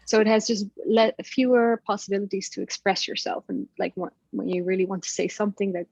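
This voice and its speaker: A woman's voice: medium pitch, steady tone, fairly quick, a little mellow, husky, dry recording, lo-fi sound. A woman whose first language is Dutch, talking in a casual interview with many fillers and frequent laughs. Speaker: podcast guest. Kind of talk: casual conversation.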